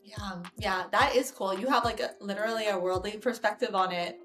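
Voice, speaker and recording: A woman's voice: medium pitch, fairly quick, fairly bright tone, soft background music. A woman hosting a casual interview podcast, chatty and full of "like" and "you know" fillers. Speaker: podcast host and realtor. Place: Orange County, California.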